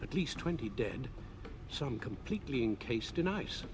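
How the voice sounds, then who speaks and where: An actor playing an elderly British butler and guardian in a video game, anxious and devoted, with formal, careful, emotive phrasing. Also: male voice, medium pitch, sing-song, normal speed, background noise, natural voice. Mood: concerned. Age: older. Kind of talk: cinematic game voice acting. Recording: music bed under dialogue.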